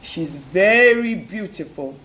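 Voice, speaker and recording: A man's voice: high-pitched, expressive, slow pace, pushed and bright, full character voice, poor audio. A storyteller voicing a boastful miller who hesitates and drags his words out. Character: boastful male miller eager to impress.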